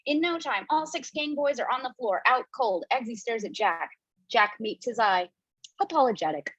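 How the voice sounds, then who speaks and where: Husky-voiced woman reading stage directions. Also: on the high side, lively intonation, a bit bright, no echo. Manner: brisk, casual, playful. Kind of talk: table read of a screenplay. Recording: dull lo-fi home mic.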